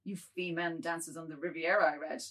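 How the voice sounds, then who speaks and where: A woman's voice: low-pitched, fairly even intonation, fairly quick, a bit bright. A woman playing a wordy, self-abasing middle-aged man in a theatrical novel reading. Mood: defiant, mocking. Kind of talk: dramatized reading of a modernist novel.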